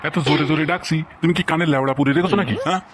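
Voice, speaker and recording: Cartoon old husband, wheedling, high-pitched, voiced by a man. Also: racing, noisy background, lightly acted. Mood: whiny. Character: old husband, pompous yet pleading. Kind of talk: comic cartoon dub. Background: background music.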